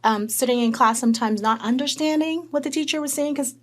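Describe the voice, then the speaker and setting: A woman who grew up in Hong Kong, a guest telling her story in a conversational interview with lively, animated storytelling. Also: sits high, fairly quick.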